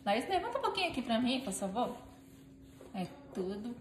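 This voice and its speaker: A woman's voice: fairly high pitch, very animated, normal speed. Chatty woman cooking in an echoey kitchen. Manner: chatty and playful, talks to viewers and her helper while kneading. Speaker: home cooking vlogger. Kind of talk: casual cooking demonstration. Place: home kitchen, indoors. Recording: echoey kitchen with clear background noise.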